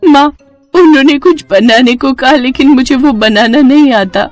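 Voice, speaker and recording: A woman's voice: a little high, normal speed, darkish tone, no room sound, soft background music, natural voice. A woman voicing a timid, naive, unschooled young wife who talks hesitantly and pleadingly in a cartoon story. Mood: nervous, naive.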